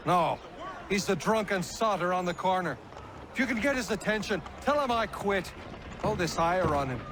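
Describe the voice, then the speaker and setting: A man's voice: high-pitched, expressive, moderate pace, background noise. A man playing an exasperated colonial townsman in a video game, with an irritable, dismissive manner. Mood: fed up. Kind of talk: video game cutscene acting.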